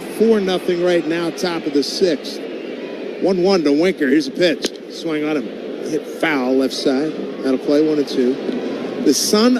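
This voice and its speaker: A man with a fairly high voice calls a baseball game live for radio. He speaks in short, colloquial phrases as he follows each pitch. There is clear outdoor ballpark noise behind him. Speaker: baseball play-by-play announcer. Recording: loud ballpark background noise. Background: outdoor stadium ambience.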